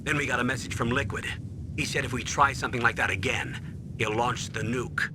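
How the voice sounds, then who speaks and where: A man voicing an older male colonel giving measured orders over the radio, in a noisy game cutscene. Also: medium pitch, fairly even intonation, close to an everyday voice. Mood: urgent. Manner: measured, commanding.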